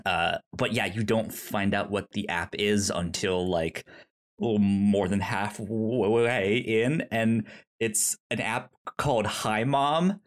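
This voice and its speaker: A man co-hosting a casual film discussion, halting as he talks, often stammering and repeating syllables before he gets a word out. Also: fairly low pitch. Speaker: podcast co-host.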